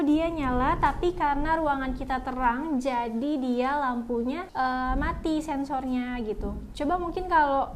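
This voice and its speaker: A young woman hosts a casual product review, chatting informally and often addressing her viewers directly. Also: high-pitched. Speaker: product review video host.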